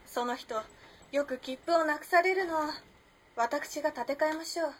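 A woman plays a young modern lady in a period radio drama. Her voice sits high, and she speaks with cool poise. She is playful and enigmatic, teasing in elegant, old-fashioned feminine phrasing. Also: moderate pace, lightly acted. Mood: composed. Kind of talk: Japanese radio drama acting.

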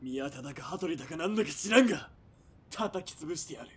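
A man's voice: a little high, lively intonation, a little fast, fairly bright tone, breathy edge, exaggerated voice. A man playing a bullying, hot-tempered military police commander in a radio drama, barking threats. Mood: threatening.

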